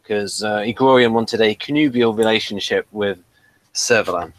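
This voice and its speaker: A man reviewing in casual, unscripted talk, explaining things in a halting way. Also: lowish voice, slight background noise, rough recording. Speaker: TV episode reviewer.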